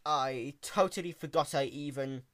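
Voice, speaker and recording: Narrator doing a nervous stallion pony guy, hesitant n awkward, bright voice, dry sound. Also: mid-range voice, moderate pace, no echo, lightly acted. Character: sheepish, nervous young stallion pony making feeble excuses.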